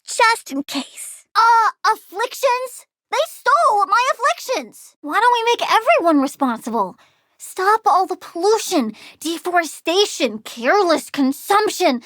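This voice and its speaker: A woman's voice: sits high, a bit bright, no echo. A young woman playing a childlike plant-girl villain in a video game, playful and curious, with a blunt, bratty, cheerfully menacing way of talking. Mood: bratty, demanding, then disgusted.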